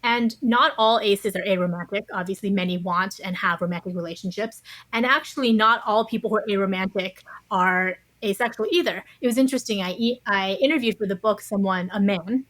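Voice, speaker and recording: A woman's voice: medium pitch, a little fast, hardly any pauses, fairly bright tone, low-quality recording. A woman speaking as an interview guest, explaining her subject in an articulate, conversational way.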